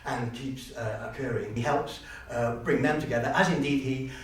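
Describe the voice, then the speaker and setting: A man's voice: mid-range voice, normal speed. A man auditioning as an amateur actor, moving between monologue and interview chat. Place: university room, audition being filmed. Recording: echoey room, distant mic, faint noise.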